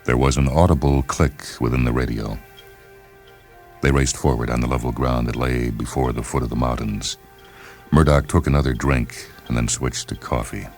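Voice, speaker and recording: A man's voice: extremely low pitch, steady tone, moderate pace, darkish tone, soft background music. A man reading a scripted story aloud as a dramatic narrator.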